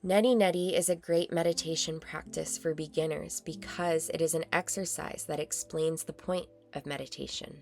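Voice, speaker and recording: A woman narrating a guided meditation in a calm, soothing, measured way with long pauses. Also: deep voice, gravelly. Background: meditation music.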